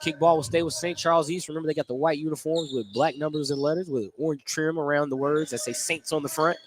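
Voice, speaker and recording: High-pitched male basketball play-by-play announcer, noisy gym. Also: steady tone, fast. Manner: clipped phrases, detailed descriptive calls. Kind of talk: live basketball play-by-play radio commentary. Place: indoor basketball gym during a game. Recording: noisy gym ambience, bouncing ball. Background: gym noise and basketball bounces.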